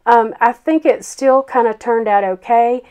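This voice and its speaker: A woman's voice: fairly high pitch, fairly even intonation, a little slow, a little mellow, clean tone. Froggy-voiced grandmother crafter, chatty. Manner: chatty, self-deprecating, many ums. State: hoarse from a summer cold. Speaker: card-making crafter. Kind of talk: casual craft tutorial voice-over.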